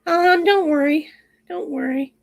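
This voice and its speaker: A woman hosting a livestream, chatting casually and rambling with viewers while her voice is going hoarse. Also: high-pitched, a little slow. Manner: chatty, rambling, lots of fillers. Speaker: livestream host. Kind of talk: casual chat with live chat viewers.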